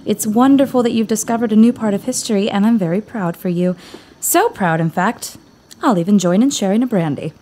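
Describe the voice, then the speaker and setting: An actress playing a sensible, caring female companion to a scholar in an audio drama, expressive and gently chiding. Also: medium pitch, a little fast, lightly acted. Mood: affectionate.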